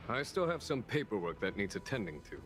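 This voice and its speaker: A man playing a calm, unhurried general in a video game, speaking formally and with measured, wry authority. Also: on the low side. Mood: courteous, resolute. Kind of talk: scripted video game voice acting.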